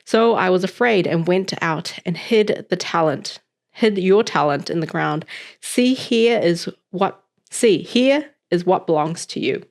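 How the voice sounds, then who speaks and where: Chatty New Zealand woman, lowish voice. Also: animated, measured pace. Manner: chatty and upbeat, with loose fillers. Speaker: host reading scripture. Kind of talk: casual chat, then scripture reading aloud.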